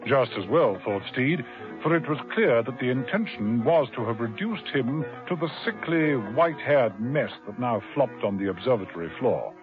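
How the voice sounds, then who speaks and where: Lively male radio drama narrator. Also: medium pitch. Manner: dramatic, measured storytelling. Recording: tinny old radio broadcast with music.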